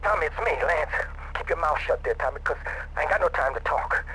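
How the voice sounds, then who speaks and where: A man's voice: fairly high pitch, expressive, a little fast, sharp tone, a little hiss or hum, rough recording. A man voicing a volatile, resentful criminal partner in a video game, whiny and emotional.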